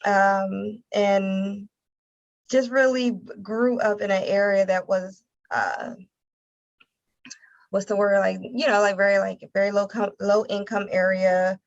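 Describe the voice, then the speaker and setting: An American woman from Philadelphia answering interview questions conversationally, haltingly repeating words as she thinks. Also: mid-range voice, vocal fry, dull audio. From Philadelphia, United States. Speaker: interview guest, nonprofit communications director.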